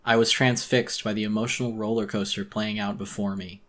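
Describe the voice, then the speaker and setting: Male narrator for dramatic horror reading. Intense, deliberate, slightly deep, with a tense forceful edge. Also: flat delivery. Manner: intense, theatrical, deliberate storytelling. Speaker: audiobook narrator.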